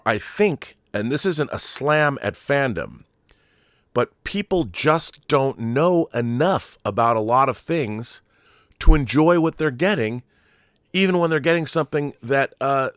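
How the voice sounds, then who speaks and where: A man in his fifties hosting a film commentary show, talking to viewers in an emphatic, deliberate monologue with stressed pauses and repeated phrases. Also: medium pitch, a bit bright, dry recording, clean studio sound. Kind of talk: opinionated monologue to viewers about film collectibles.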